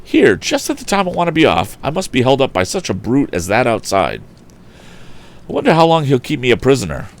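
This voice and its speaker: A man's voice: medium pitch, huge pitch swings, brisk, pitched above the performer's own voice. A man voicing a plucky, earnest young male inventor from a storybook, thinking aloud in boyish exclamations. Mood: frustrated. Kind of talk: storybook character voice.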